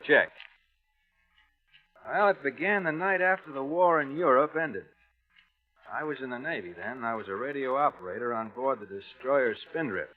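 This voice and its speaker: A man's voice: medium pitch, expressive, moderate pace, long pauses, close to an everyday voice. Casting: male actor as plain-spoken former sailor telling a secret story, confiding, vintage radio drama, thin phone-like recording. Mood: storytelling. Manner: colloquial, hesitant, confiding. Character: plain-spoken, cagey American Navy veteran spinning a yarn. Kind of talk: 1940s radio drama acting. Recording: tinny vintage radio recording, music behind.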